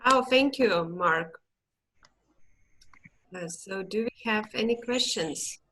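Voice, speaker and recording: A woman moderating an online meetup over a video call, hosting questions in an unhurried, hesitant way. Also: medium pitch, a bit bright.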